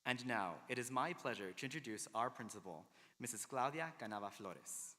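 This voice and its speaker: A man's voice: medium pitch, animated, normal speed, sharp tone. A man making formal announcements and introductions as the announcer at a ceremony. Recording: noisy, echoing hall PA.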